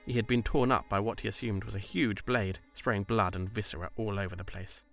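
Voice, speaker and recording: A man reading scripted story narration in a measured, literary delivery. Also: lowish voice, fairly even intonation, fairly bright tone, soft background music.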